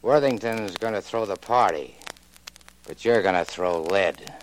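Low-voiced cool gambling boss. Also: male voice, unhurried, very noisy. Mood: cool, plotting. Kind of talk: 1940s radio crime drama acting.

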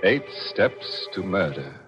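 Male 1940s mystery announcer, low ominous voice, theatrical delivery over dramatic music. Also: sing-song. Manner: ominous, theatrical, deliberate enunciation. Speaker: host and announcer. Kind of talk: 1940s radio mystery introduction, scripted. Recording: muffled vintage radio broadcast.

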